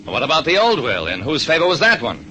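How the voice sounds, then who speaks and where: A man's voice: medium pitch, wildly swooping pitch, fast talker, sharp tone. An actor playing a wry private detective who narrates his case in 1940s radio drama style. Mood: brisk, wry. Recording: noisy vintage radio recording.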